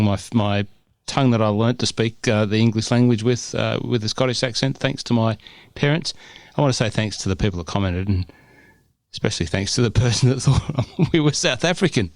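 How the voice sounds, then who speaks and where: A man's voice: lowish voice, no echo. A man co-hosting a podcast from home, chatty and joking in a casual, upbeat way. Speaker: podcast co-host. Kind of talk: casual chat. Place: home, cold winter day. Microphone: remote call recording.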